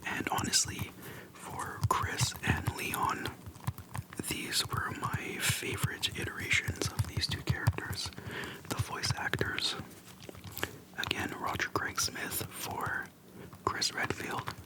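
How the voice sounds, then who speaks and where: A person whispering softly into a close microphone in a casual, slangy, unhurried ASMR chat, pausing often between phrases. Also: rough recording. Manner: soft whispering, unhurried with pauses; informal slangy speech. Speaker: ASMR video creator. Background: soft tapping and clicking.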